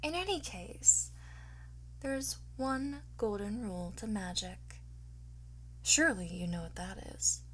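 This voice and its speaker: A woman playing a flirtatious, boastful master thief in an ASMR roleplay, soft and often whispering, theatrical and smug. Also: medium pitch, measured pace, slight background noise, lightly acted, low-quality recording. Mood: sly, gloating. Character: teasing, boastful female master thief under interrogation.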